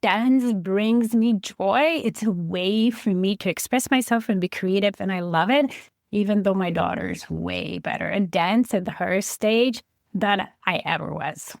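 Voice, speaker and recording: A podcast host in her forties, Polish-born with a light Polish accent, talking through a relaxed, chatty solo episode about herself. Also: medium pitch, a little slow, creaky, no echo, studio quality. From Poland. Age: 40s. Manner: chatty, reflective, counts through a list.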